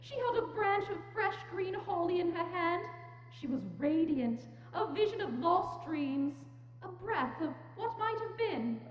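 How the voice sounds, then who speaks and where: Very high-pitched woman narrator, echoey hall. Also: animated, measured pace, lo-fi sound. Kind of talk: scripted story narration, dramatic reading. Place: church, live staged reading. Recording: echoey church hall, faint noise.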